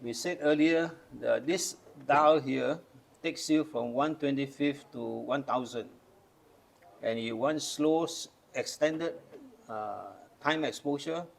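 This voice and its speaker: Gravelly older Malaysian-raised man. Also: medium pitch. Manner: patient, explains step by step with hands-on demos. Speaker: camera collector. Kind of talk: casual show-and-tell demonstration.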